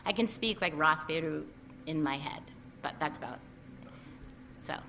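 A woman with a Lebanese-American accent speaks at a book talk with a bright, ringing tone. Her delivery is animated and chatty, full of restarts. A faint hiss sits under the recording. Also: deep voice, unhurried. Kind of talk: casual talk at a reading.

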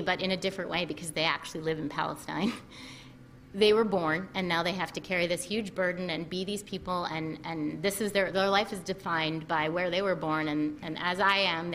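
A woman's voice: fairly low pitch, steady tone, moderate pace, a bit bright, slight background noise. A woman with a Lebanese-American accent, talking casually as an author at a book talk, chatty and full of restarts.

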